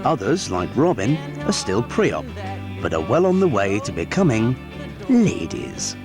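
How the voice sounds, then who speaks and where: Deep-voiced male documentary narrator. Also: sing-song, unhurried, music in the background.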